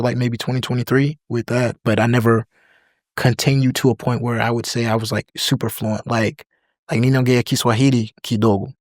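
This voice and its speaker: A man's voice: medium pitch, no echo. Young american guy chatting loose on a podcast, like and you know everywhere, dry mic. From United States. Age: young adult. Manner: relaxed, filler-heavy, drops into other languages. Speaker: podcast guest. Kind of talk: casual interview chat.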